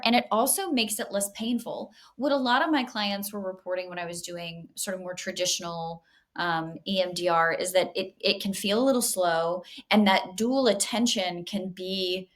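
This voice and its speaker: A woman therapist hosting a relaxed podcast, speaking with easy enthusiasm. Her talk is conversational and warm in manner, at an ordinary middle pitch for a woman. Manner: enthusiastic, conversational, laughs easily. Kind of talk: casual podcast interview.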